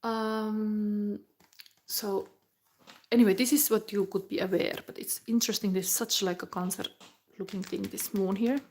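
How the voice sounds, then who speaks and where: Lady in her 40s doing a tarot reading, slow and musing, drops into whispers. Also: mid-range voice, fairly even intonation. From Finland. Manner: musing, halting, with soft whispered asides. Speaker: tarot reader.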